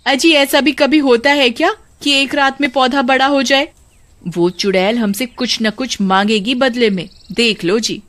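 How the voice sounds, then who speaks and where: A woman voicing a practical, sceptical village wife in an animated story, expressive and chiding. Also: sits high, measured pace, voice pushed up.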